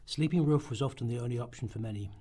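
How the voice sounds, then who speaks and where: A man's voice: medium pitch, fast, dark tone, breathy edge. A man reading scripted historical narration as the narrator of a radio documentary drama. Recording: amateur rehearsal recording.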